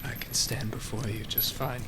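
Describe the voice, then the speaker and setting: Male actor as proud wounded underworld god, mid-pitched, brooding, intense, defiant, audio drama, noticeable background noise. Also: level tone, normal speed, sharp tone, close to an everyday voice. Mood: strained defiance.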